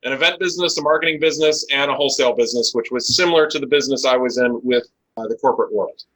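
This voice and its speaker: A man's voice: medium pitch, rapid-fire, a bit bright, poor audio. An American man in his forties, from Chicago and Los Angeles, telling his story conversationally as an interview guest and business owner. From United States (Chicago and Los Angeles). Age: 40s.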